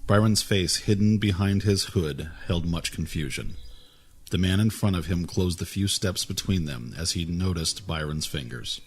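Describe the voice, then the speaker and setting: A man reading a fantasy story aloud in a calm, even way, with a husky voice. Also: deep voice, normal speed. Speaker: narrator. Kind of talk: storybook narration.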